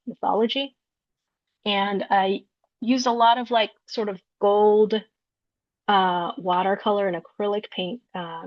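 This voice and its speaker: Woman, from Peru, artist talk, explanatory, unhurried, creaky voice, dull lo-fi. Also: mid-range voice, no echo. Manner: explanatory, unhurried, searching for words. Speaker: visual artist. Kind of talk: artist talk walking through slides of her work. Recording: dull lo-fi recording, dry.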